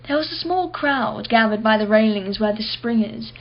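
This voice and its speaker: A woman's voice: fairly high pitch, animated, normal speed, muffled recording. An actress playing an imperious, wealthy businesswoman in a radio play, guarded and impatient, speaking in a clipped, formal way. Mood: wistful, reflective.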